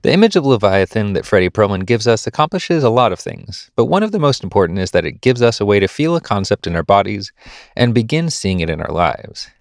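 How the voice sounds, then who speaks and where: A man with a fairly low voice reads prepared podcast commentary. His pitch moves expressively through each sentence, and the sound is dry and close, with very little room in it. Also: sing-song, fairly quick, gravelly, dry recording. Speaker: podcast presenter reading editorial and news. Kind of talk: scripted reading of essay and news.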